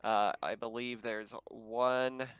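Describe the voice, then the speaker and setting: A man's voice: medium pitch, little pitch movement, takes it slowly, a bit bright, clean tone, no echo, dull audio. A man acting as game master, casually explaining the rules and setting of a tabletop roleplaying game.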